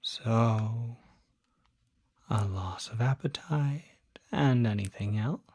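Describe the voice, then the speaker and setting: A performer voicing a calm, attentive demon doctor in a soft-spoken ASMR roleplay, often whispering, with gentle, deliberate questioning. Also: medium pitch, breathy edge, no echo, studio quality. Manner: slow, deliberate, gentle bedside questioning with pauses.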